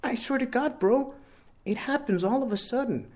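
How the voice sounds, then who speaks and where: Soft, near-whispered sick teen boy, as a man puts him on, high and frightened, storybook reading. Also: moderate pace. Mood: puzzled.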